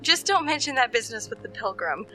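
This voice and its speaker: High, bright, sardonic professor. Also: female voice, big pitch swings, quick pace, soft background music, poor audio. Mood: teasing, sardonic. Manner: dry, sarcastic. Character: wry, weary, sardonic young female professor. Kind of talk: audio drama acting.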